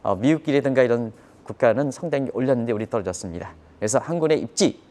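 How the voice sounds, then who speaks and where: A man's voice: mid-range voice, animated, fast talker, dark tone. A man giving live economic commentary on a broadcast in an emphatic, explanatory manner, posing rhetorical questions.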